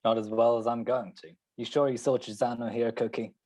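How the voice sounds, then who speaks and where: An actor playing a hard-boiled, wry, unflappable private detective in an old-time radio drama, talking in terse, sardonic lines. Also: male voice, medium pitch, little pitch movement, brisk, dark tone, natural voice. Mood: dry, wry. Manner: sardonic, terse tough-guy talk.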